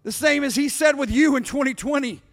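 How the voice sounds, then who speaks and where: A man's voice: very high-pitched, a little fast, fairly bright tone. A man preaching an impassioned sermon to a congregation, emphatic and exhorting, building on repeated rhetorical refrains. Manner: repetitive, building rhetorical refrains with call and response. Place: large reverberant hall. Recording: reverberant hall with music underneath. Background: music under the preaching.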